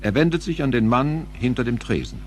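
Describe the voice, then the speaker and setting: A man's voice: mid-range voice, animated, a little fast, a little mellow, a little hiss or hum. A man narrating an audio drama in a dramatic, scripted style.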